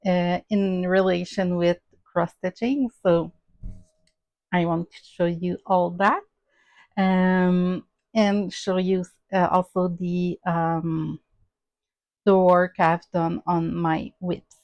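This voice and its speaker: Slow, hesitant woman with a Québécois French accent. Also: fairly low pitch, flat delivery, dry recording, muffled recording. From Quebec, Canada. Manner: hesitant, many "um" and "uh" fillers. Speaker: crafting channel host. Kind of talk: casual show-and-tell vlog.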